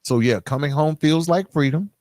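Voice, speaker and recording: A man's voice: a little high, moderate pace, darkish tone, no echo, clean studio sound. A middle-aged man hosting a podcast, talking straight to listeners in a reflective, emphatic monologue, often checking in as he goes. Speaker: podcast host.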